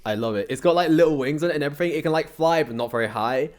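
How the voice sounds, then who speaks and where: A man's voice: on the high side, rapid-fire, darkish tone. A young man chatting casually as an interview guest, rambling along in a slangy, jokey way. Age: young adult. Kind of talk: casual chat.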